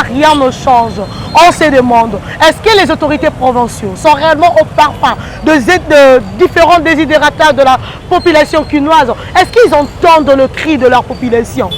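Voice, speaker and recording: A woman's voice: sits high, poor audio. A woman with a Congolese French accent hosts a street report, asking earnest rhetorical questions and slipping into Lingala. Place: outdoors at a busy city crossroads. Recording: street noise and crowd chatter.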